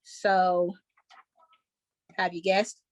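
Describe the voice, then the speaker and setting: A woman's voice: on the low side, completely flat delivery, drawn-out words, no echo. A woman playing a chipper 1940s radio show host, giving scripted announcements in a showy, presentational way. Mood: showy, upbeat.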